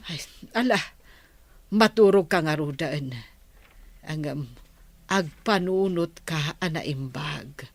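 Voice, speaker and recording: A woman's voice: deep voice, takes it slowly, long pauses, dry recording, exaggerated voice. An actress playing a concerned, admonishing older mother in a radio drama, with a sighing manner.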